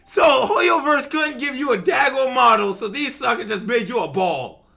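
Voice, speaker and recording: A man's voice: extremely high pitch, lively intonation, normal speed, gravelly, bright, forceful tone. A man doing casual, slangy live reaction commentary as a game streamer, full of exclamations.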